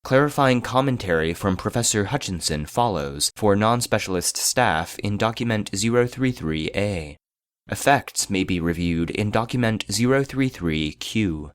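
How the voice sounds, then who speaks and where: An actor playing an impassive archive database voice, reading classified reports in a formal, measured, clinical way. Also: male voice, lowish voice, lively intonation, smooth, no room sound, lightly acted. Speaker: narrator. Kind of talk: scripted narration, clinical report.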